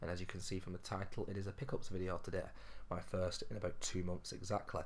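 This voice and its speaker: A man with a sore throat, his voice hoarse, low and gruff, chatting casually to viewers about games he has bought. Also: flat delivery, quick pace. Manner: chatty, addresses viewers as ladies and gentlemen. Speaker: gaming vlogger. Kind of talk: casual game pickups vlog.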